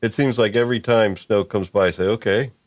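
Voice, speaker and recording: A man's voice: fairly low pitch, fairly even intonation, brisk, no room sound. A man hosting a casual podcast chat, with a hesitant, meandering way of phrasing things. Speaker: podcast host.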